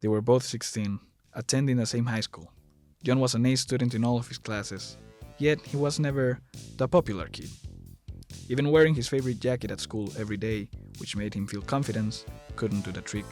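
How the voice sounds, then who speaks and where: A man's voice: medium pitch, fairly quick, darkish tone, faint music behind. A man reading scripted narration for an audio drama.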